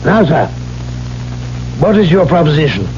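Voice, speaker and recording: A stubborn English gentleman in an old radio play. He speaks gravely and formally as a father bound by honor, on a muffled recording with faint hiss. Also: fairly high pitch, big pitch swings, normal speed. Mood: ashamed, resolute. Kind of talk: 1970s radio drama acting.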